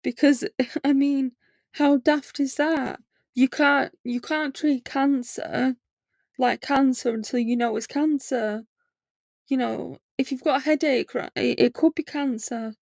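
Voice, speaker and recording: A woman's voice: sits high, fairly even intonation, unhurried, vocal fry, darkish tone, dry recording, clean studio sound. A woman in her late twenties hosting a podcast, talking candidly in a rambling personal monologue with lots of fillers. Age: 20s. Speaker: podcast host.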